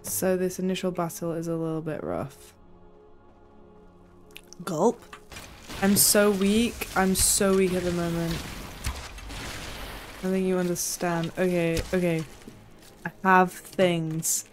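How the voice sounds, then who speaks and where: A woman's voice: fairly low pitch, steady tone, takes it slowly, pauses often, faint music behind. A young woman giving casual, exasperated gameplay commentary, swearing and reacting aloud. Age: young adult. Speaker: game streamer. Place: small home room.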